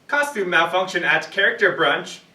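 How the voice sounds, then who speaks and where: A woman voicing a sentimental theme park computer in a staged reading of a cartoon script, with deliberate announcing delivery. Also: fairly low pitch, sing-song, bright, forceful tone. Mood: dutiful, businesslike. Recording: echoey room, distant mic.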